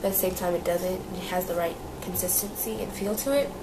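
A woman's voice: lowish voice, fairly even intonation, fast talker, heavy background noise. Chatty young woman, slightly nasal. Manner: chatty, lots of fillers and repeats. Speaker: beauty vlogger. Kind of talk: casual product review.

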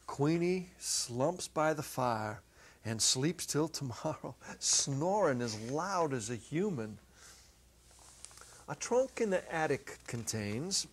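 A middle-aged man giving an expressive dramatic reading of a literary short story, with deliberate pauses. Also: mid-range voice, slight background noise. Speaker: narrator, reader. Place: indoor set, seated at a music stand. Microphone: clip-on lapel.

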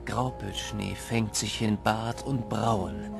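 A man narrating a dark winter legend as a solemn, dramatic storyteller in an audio play. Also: on the low side, slow, creaky, over music, heavily acted.

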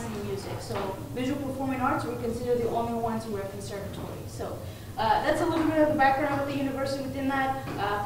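Young woman presenting in an echoey hall. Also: a little high. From Mexico. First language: Spanish. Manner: informal, with frequent "uh" and restarts. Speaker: conference presenter. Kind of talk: conference talk. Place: conference room with projector screen. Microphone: room mic heard from a distance. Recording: echoey room, distant mic, background noise.

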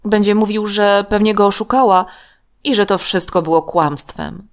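A woman narrating a children's story from a script in an expressive, clearly enunciated way. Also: mid-range voice, moderate pace.

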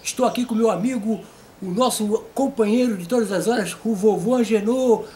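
A Brazilian man in his fifties with a fairly high voice hosts a casual comedy interview. He chats informally, with faint noise in the background. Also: moderate pace, a little mellow. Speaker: host and puppeteer.

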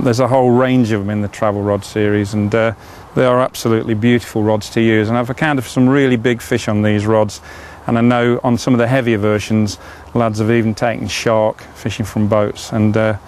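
A man's voice: fairly low pitch, level tone, fairly quick, few pauses, a little mellow, a little hiss or hum. A middle-aged man, chatting enthusiastically as he demonstrates fishing rods, with frequent "uh" fillers. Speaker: fishing tackle presenter. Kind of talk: product demonstration.

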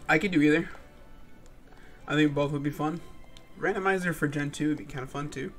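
A man's voice: on the high side, a little fast, fairly bright tone. A young man with a stuffy voice and a sore throat, chatting casually and muttering in frustration as he plays through a game. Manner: laid-back, swearing, frustrated muttering. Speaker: gaming channel host. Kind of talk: casual let's-play commentary. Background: game music.

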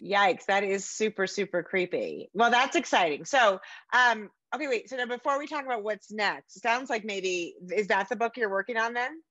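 A middle-aged American woman hosting a casual interview over a video call, effusive and quick to poke fun at herself. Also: mid-range voice, animated, sharp tone, dry recording, lo-fi sound. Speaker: podcast host interviewing an author. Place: book-lined room at home, indoors.

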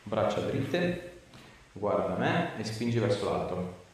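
A man's voice: lowish voice, very animated, slow. A man, working as an osteopath and giving short exam cues and questions to a patient. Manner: short, repeated coaching cues. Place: small clinic treatment room. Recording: roomy echo, distant mic, faint noise.

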